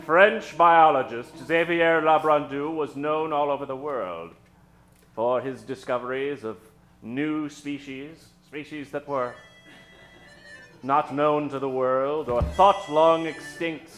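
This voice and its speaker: A man hosting a live old-time radio show, introducing characters from the stage in a dramatic, drawn-out storytelling style. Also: fairly high pitch, fairly even intonation, a bit bright, faint music behind. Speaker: host and announcer. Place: stage before a live studio audience. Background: musical accompaniment.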